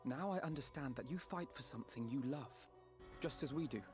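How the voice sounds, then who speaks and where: Game hero, male actor as principled scholar: mid-pitched, formal, diplomatic, sincere, with clear score behind. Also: lightly acted. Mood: earnest appeal. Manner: measured, formal, reasoning. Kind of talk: dramatic fantasy video game acting. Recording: music behind the voice.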